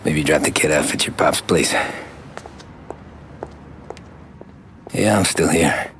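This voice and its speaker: A man playing a cool, casual working-class mobster in a crime video game, with clear noise on the soundtrack. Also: very deep voice, normal speed, a bit bright. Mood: casual, subdued. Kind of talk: cinematic video game acting.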